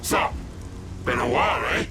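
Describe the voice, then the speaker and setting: A man's voice: normal speed, over music, natural voice. A man playing a condescending, flippant and casually mocking villain in a video game. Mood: mock-friendly. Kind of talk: video game cutscene acting.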